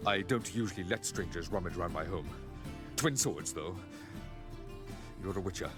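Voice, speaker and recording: An actor playing a haughty, boastful wealthy banker in a video game, with a pompous manner of speaking. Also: male voice, low-pitched, over loud music, lightly acted. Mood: condescending, measured. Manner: pompous, drawn-out phrasing. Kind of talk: video game character acting.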